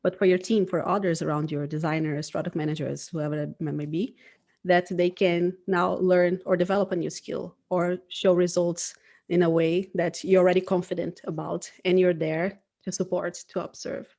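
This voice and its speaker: A woman's voice: on the low side, steady tone, normal speed, vocal fry, dark tone. A woman, acting as a design manager, talking thoughtfully in a casual conversation, often ending points with a questioning tag. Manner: thoughtful, frequent "right?" tags.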